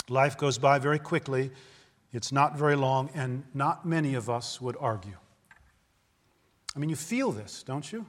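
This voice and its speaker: A man's voice: fairly high pitch, big-room sound. A man in his fifties preaching a sermon in an earnest, conversational way, often asking his listeners rhetorical questions. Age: 50s. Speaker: pastor.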